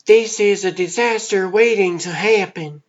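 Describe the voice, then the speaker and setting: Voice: mid-range voice, a little slow, dull audio, natural voice. A performer voicing a bitter, fed-up suburban wife in a comic-book dub, with a scornful, sarcastic manner. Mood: bitter, subdued.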